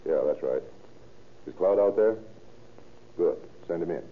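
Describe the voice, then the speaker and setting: An actor playing a brisk, authoritative, skeptical American police detective in an old-time radio drama, with a dry manner. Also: male voice, deep voice, lively intonation, a little hiss or hum. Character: hard-boiled, skeptical male police detective in charge. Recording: tinny old radio recording.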